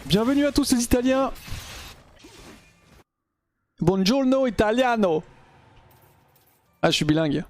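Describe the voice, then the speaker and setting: A man's voice: very high voice, expressive, a little slow, long pauses, a little mellow, no room sound. A young man casually commenting live on his own gameplay as a streamer, thinking aloud in slangy talk sprinkled with English gamer terms, into a gaming headset.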